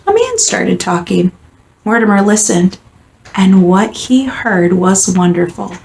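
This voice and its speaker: Slow, animated woman reading a storybook. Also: medium pitch, vocal fry. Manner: dramatic, deliberate storytelling. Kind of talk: expressive children's picture book reading. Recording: echoey room, faint background noise.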